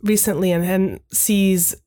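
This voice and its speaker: A woman in her thirties, a podcast guest whose first language is Hungarian. She talks in a thoughtful, measured way at a mid pitch and often adds a quick "right?" to check in. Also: fairly even intonation, mellow. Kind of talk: reflective conversational talk.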